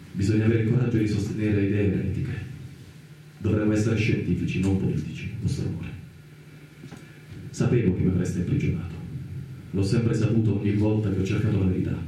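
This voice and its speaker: Man acting a dark-voiced condemned scientist, echoing. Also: natural voice. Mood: defiant, grave. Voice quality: dark, low, soft-edged. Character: condemned idealist male scientist, defiant yet compassionate. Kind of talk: radio play monologue. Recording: very echoey, distant, faint background noise.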